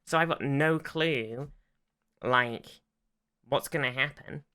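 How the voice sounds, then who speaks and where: A man co-hosting a podcast, chatting casually about a TV episode, sweary and halting as he repeats himself. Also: mid-range voice, bright, forceful tone, smooth. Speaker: podcast co-host. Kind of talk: casual TV episode recap chat.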